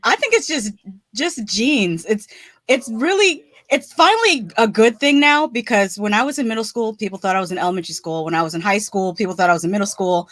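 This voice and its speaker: A woman's voice: medium pitch, lively intonation, fairly quick, fairly bright tone, no room sound. A woman in her forties, a movie reviewer chatting on a livestream, telling stories and laughing often. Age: 40s. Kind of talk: casual livestream chat.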